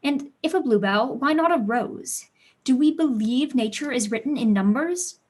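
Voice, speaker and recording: High-pitched, headstrong, precocious schoolgirl played by a woman. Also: animated, normal speed, darkish tone. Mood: excited, defiant. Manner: eager, argumentative, eloquent. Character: precocious, passionate teenage girl pupil, witty and headstrong. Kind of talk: staged reading of a period play.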